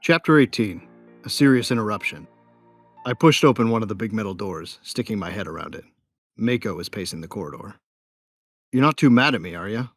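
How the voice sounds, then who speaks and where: Low-voiced cocky bounty hunter narrator, acted by a man. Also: animated, fairly quick, soft background music. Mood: wry, confident, then relaxed. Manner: wry, self-satisfied. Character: cocky, violent young male bounty hunter narrating his story. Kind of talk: first-person dramatic narration.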